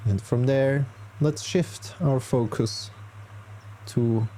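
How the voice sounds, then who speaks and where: A man leading a guided meditation as a yoga teacher, speaking calmly and gently with unhurried pauses. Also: medium pitch, slight background noise.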